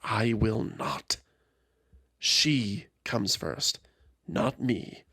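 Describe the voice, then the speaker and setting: A narrator voicing a devoted, conflicted male squire in a fantasy audio story, earnest, restrained, hushed and hesitant. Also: medium pitch, sing-song, drawn-out words, breathy edge, no echo, clean studio sound, full character voice. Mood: strained restraint.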